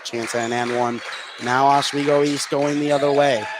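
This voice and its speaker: A man's voice: mid-range voice, little pitch movement, normal speed. A man working as a play-by-play announcer, calling a live basketball game in clipped, present-tense phrases that follow the action. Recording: clear gym noise behind the commentary. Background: gymnasium game ambience.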